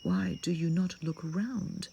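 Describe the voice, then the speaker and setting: A predatory storybook wolf, played by a woman, sly and coaxing. The words come slowly and low, with false sweetness over a crafty, villainous temper. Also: gravelly, mellow, husky, full character voice.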